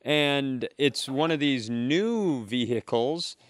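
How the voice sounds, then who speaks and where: A man's voice: mid-range voice, expressive, takes it slowly, no echo, clean studio sound. A man co-hosting a comedy podcast, riffing in a profane, self-deprecating, casual way. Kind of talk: bantering casual comedy chat.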